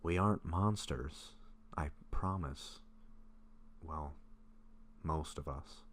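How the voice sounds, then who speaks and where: A man acting a gentle, bashful, sick vampire in an intimate roleplay audio, speaking softly and haltingly to a close friend. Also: extremely low pitch, exaggerated voice. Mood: nervous, confessing. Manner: soft-spoken, hesitant, halting with pauses.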